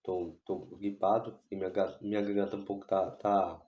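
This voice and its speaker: Man, hoarse, sore throat, low voice, flat, rambling, echoey room. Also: normal speed. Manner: rambling, repeats himself with many restarts and fillers. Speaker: football fan channel host. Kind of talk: casual vlog commentary on football.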